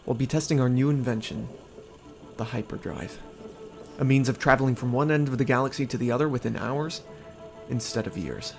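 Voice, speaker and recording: An actor playing a calm, earnest young male inventor with a gravelly voice and a dry, teasing manner. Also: mid-range voice, fairly quick, soft background music, natural voice. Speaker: inventor and narrator. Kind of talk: fan audio drama acting.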